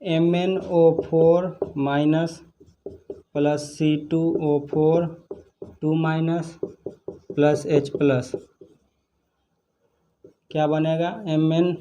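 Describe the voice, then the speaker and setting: A man's voice: fairly high pitch, flat delivery, extremely slow, long pauses, dark tone, muffled recording. A man teaching chemistry step by step as an instructor, repeating his points for students. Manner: repetitive, instructive. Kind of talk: step-by-step exam problem lesson.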